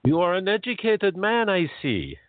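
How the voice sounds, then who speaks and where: A man's voice: sits high, huge pitch swings, moderate pace, no room sound. A man playing a crafty, wary old settler in a radio play, with a hesitant, haggling manner. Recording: dry, tinny phone-like line.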